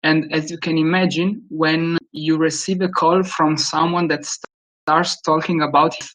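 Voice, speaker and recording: A man from Italy with a strong Italian accent, speaking English as a guest speaker in a webinar Q&A, with frequent pauses and restarts. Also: fairly high pitch, little pitch movement, fairly bright tone, poor audio. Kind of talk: webinar Q&A answer.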